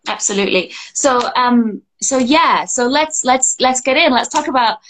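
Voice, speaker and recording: A woman's voice: sits high, normal speed, a little hiss or hum, poor audio. Chatty woman, employment coach. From Scotland. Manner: chatty, frequent fillers and restarts, laughs easily. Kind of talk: casual podcast chat.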